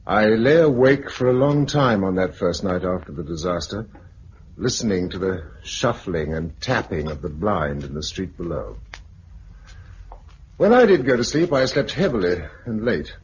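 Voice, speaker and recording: Pragmatic British male survivor, measured clipped speech, lively pitch movement, 1950s radio drama, noisy old recording. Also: highs cut off. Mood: calm, reflective.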